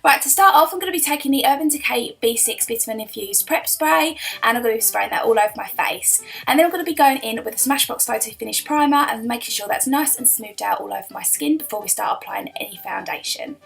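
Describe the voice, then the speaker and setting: A young woman, hoarse from a cold, chatting her way through a makeup tutorial voice-over. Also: fairly high pitch, lively intonation, fast talker, hardly any pauses, fairly bright tone, soft background music. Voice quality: croaky. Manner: chatty, rambling, lots of "um" and "I'm gonna be". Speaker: beauty vlogger.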